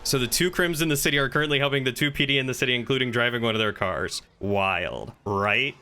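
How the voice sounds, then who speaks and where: A man's voice: mid-range voice, fast, pushed and bright, clear, resonant tone. A man chatting casually in character while streaming a crime roleplay game.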